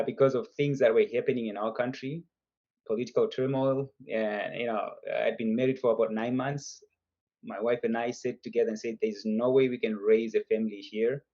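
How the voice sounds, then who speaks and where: A man's voice: medium pitch, warm tone, muffled recording. A man in his fifties from Zimbabwe, telling his story as a guest in a casual video call interview. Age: 50s. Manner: chatty storyteller, laughs between points. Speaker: guest entrepreneur.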